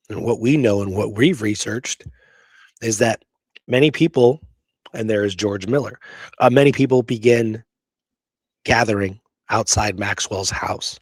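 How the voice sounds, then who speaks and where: A man's voice: fairly low pitch, slightly rough, dry recording. A man hosting a casual history talk from notes, speaking in an emphatic, conversational way and often checking in with the listener. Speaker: podcast host.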